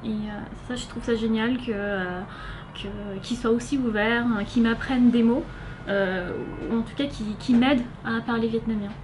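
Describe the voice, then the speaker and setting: A woman's voice: on the high side, fairly even intonation, moderate pace. Hesitant young French woman, noisy recording. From France. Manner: hesitant, many filler sounds. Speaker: interviewee, volunteer. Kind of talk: casual interview answers. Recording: noisy background hiss.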